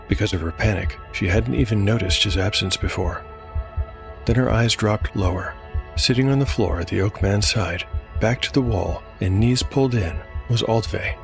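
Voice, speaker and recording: A man narrating a scripted dark fantasy audio drama in a measured, dramatic storytelling manner. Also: fairly low pitch, vocal fry. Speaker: narrator and host. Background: music.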